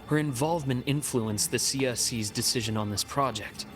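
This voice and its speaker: A man voicing a composed, analytical investigator in a game, speaking in a measured, explanatory way. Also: medium pitch, over music, lightly acted. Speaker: game character. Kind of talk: game cutscene voice acting.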